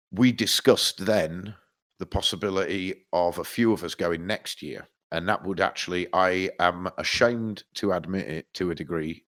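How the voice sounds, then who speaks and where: A man co-hosting a podcast, chatting casually; he is congested from hay fever, rambles, restarts his sentences and swears freely. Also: low-pitched. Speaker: podcast co-host. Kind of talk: casual chat.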